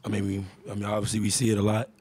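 A young man, a college basketball player answering at a postgame press conference into a table mic, halting and repeating his words. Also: fairly low pitch, completely flat delivery, a little mellow, breathy edge. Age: young adult. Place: press room in front of a sponsor backdrop.

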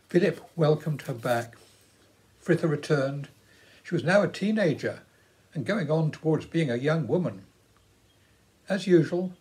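Storyteller: English man, 70s, slightly high-pitched voice, reading a storybook aloud at home. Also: darkish tone, rough recording. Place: living room at home, indoors.

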